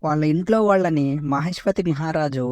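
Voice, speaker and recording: A man's voice: fairly high pitch, fairly quick, darkish tone, no echo, clean studio sound, lightly acted. A man voicing a nagging cartoon underling in a parody comedy, deferential yet needling. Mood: explaining. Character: nagging, insistent cartoon underling who answers back. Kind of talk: animated parody comedy voice acting.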